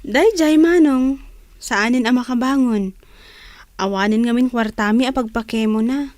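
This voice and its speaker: High, meek Ilocano schoolgirl voiced by an actress. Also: normal speed, a little mellow, dry recording, lightly acted. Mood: earnest, meek. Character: Rebecca, meek, obliging young schoolgirl. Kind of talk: Ilocano radio drama acting.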